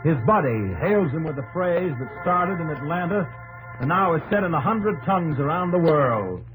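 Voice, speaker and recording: A man acting as a stirring old-time radio narrator over loud organ music. His delivery is grand and poetic, swinging widely in pitch and set off by dramatic pauses. It is a 1950s broadcast sound. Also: expressive, lightly acted, poor audio. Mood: storytelling. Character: stirring, patriotic male narrator of American history.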